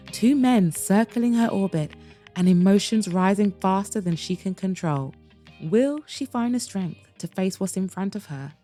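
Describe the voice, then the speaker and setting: A woman hosting a podcast and narrating an audiobook in a dramatic, expressive way, with slow emphatic pauses. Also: medium pitch, dry recording.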